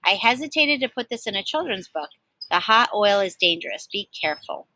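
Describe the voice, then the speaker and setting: A woman's voice: mid-range voice, big pitch swings, a little fast, bright, forceful tone, slight background noise, poor audio. A woman reading a children's storybook aloud expressively, like a teacher, with emphatic stresses and chatty asides.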